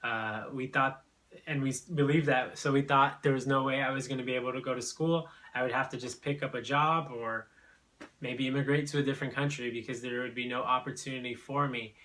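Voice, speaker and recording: A man's voice: mid-range voice, steady tone, a little fast, pushed and bright. A young man from Brazil, telling his own immigration story in an unscripted, hesitant way full of fillers and restarts. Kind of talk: personal testimony, unscripted.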